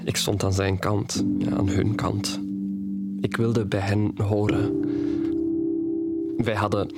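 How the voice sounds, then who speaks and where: Low-voiced young man confiding forbidden love. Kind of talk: audio drama monologue, intimate first-person storytelling. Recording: music playing behind the voice.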